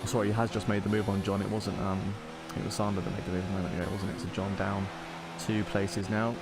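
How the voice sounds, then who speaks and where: A young man commentating an online racing league in a relaxed, chatty, self-deprecating way. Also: fairly low pitch, fast, heavy background noise.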